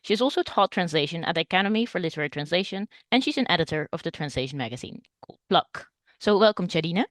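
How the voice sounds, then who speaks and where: A woman's voice: fairly low pitch, expressive, quick pace, no echo, studio quality. A woman hosting a podcast interview, introducing her guest and asking questions in a friendly, attentive way. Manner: friendly, attentive, frequent backchannel murmurs.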